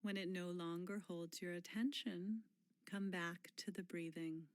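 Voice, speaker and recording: Woman, meditation guide, very slow pace, gentle delivery, soft breathy phrase endings, long pauses, dry close sound. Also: lowish voice, steady tone, no room sound.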